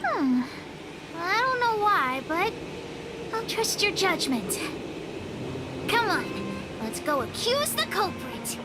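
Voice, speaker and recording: A woman voicing a bossy, excitable little girl who acts like a great hero in a video game, with brash theatrical bravado. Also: very high voice, slow, pauses often, over loud music, exaggerated voice, rough recording. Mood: confident, eager.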